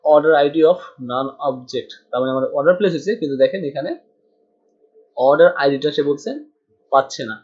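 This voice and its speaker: A man's voice: on the high side, normal speed, a little mellow. A man from Bangladesh teaching a coding tutorial, thinking aloud as he types and mixing in English terms. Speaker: programming tutor. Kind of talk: screen-recorded coding tutorial. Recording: dull lo-fi sound.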